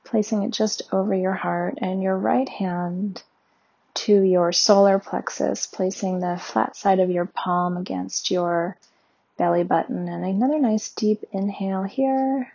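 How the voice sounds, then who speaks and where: A woman leading a guided meditation with slow, soothing, gentle instructions. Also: mid-range voice, steady tone, gravelly, husky, lo-fi sound.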